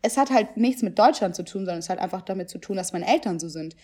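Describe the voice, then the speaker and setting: A woman's voice: mid-range voice, animated, fast talker, warm tone. A young woman who grew up in Germany, chatting casually and animatedly, swearing and dropping English phrases into her talk. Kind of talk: casual chat.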